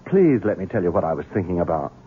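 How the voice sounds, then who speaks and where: An actor playing a scheming gentleman in a 1970s radio drama, honeyed and wheedling with a sinister edge. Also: low voice, very animated, quick pace, breathy edge, exaggerated voice. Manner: honeyed, wheedling, turns commanding. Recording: lo-fi phone-like old radio broadcast.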